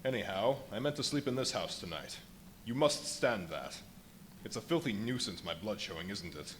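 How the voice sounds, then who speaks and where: A man's voice: lowish voice, expressive, normal speed, a bit bright. A man in a live radio play, playing an irritable, ravenous, worn-out and grandiose invisible fugitive scientist, with a theatrical, imperious delivery. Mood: wry, uneasy. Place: live performance at a brewery venue. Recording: live stage recording with faint noise.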